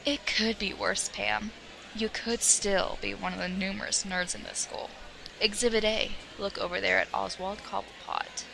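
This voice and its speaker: A woman playing a sly, confident, teasing teenage girl with a creaky voice in an audio drama. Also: mid-range voice, level tone, moderate pace, pushed and bright. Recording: clearly noisy home recording.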